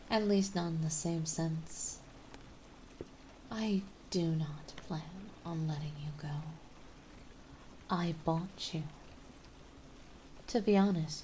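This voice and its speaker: Woman playing a slow, soothing vampire mistress. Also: low voice, slight background noise, rough recording. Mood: coolly possessive. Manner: soothing, softly spoken, polite, unhurried, doting. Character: gentle, genteel, possessive female vampire mistress. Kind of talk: ASMR roleplay acting, partly whispered.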